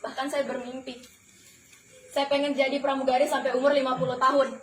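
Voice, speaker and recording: A woman's voice: high voice, flat delivery, a little fast. A woman in her twenties giving a motivational testimonial to an audience, speaking emphatically with rhetorical questions and repetition. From the Philippines, Malaysia and Indonesia. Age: 20s. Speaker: flight attendant giving a testimonial. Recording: echoey, noisy room.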